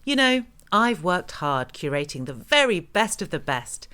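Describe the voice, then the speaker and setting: An actress playing a scheming, imperious broadcast host, theatrical and dryly menacing. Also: female voice, fairly low pitch, big pitch swings, unhurried, sharp tone, clear, resonant tone, full character voice. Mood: reflective. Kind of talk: theatrical radio host narration.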